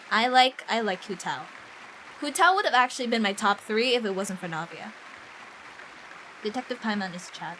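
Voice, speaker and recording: A woman hosting a casual game livestream, chatting in a giggly, excitable, gushing way with plenty of swearing. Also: medium pitch, slight background noise, poor audio. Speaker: livestream host.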